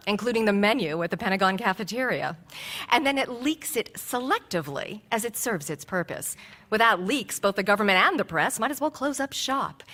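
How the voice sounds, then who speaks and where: An actress playing a sharp-witted newspaper editor in a radio drama, sardonic and quick to retort. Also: female voice, mid-range voice.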